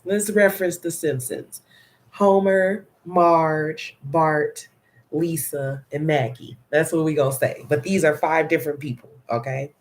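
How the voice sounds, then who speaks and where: Congested, nasal woman chatting slowly. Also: low-pitched, steady tone. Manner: chatty, rambling, frequent "okay?" check-ins. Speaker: laundry pickup and delivery business owner. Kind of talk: casual story-time vlog.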